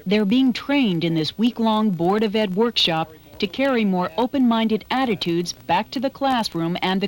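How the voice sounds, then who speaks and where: A woman narrating a short documentary in a measured, earnest voice-over. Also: mid-range voice, poor audio. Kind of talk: scripted narration. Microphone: studio voice-over.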